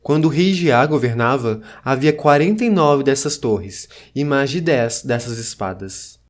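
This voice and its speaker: A solemn ancient survivor of a fallen dynasty, acted by a man, recounts old lore in a mid-pitched voice. The delivery is formal, scripted audio-drama storytelling, grave and measured in tone. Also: expressive, close to an everyday voice.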